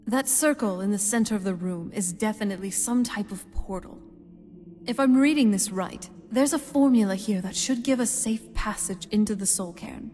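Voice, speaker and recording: A woman playing a young-looking, knowing vampire in a fantasy video game, with a dry, measured way of explaining. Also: medium pitch, darkish tone, natural voice. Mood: explaining, serious. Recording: long hall reverb, music underneath. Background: game music.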